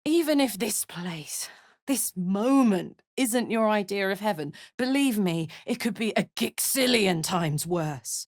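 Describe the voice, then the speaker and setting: An actress playing a brash, warm-hearted, battle-hardened tiefling barbarian woman in a video game, blunt, cheeky and earnest. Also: medium pitch, lively intonation, unhurried, no echo, studio quality. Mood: tender, earnest. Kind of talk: scripted game character voice lines.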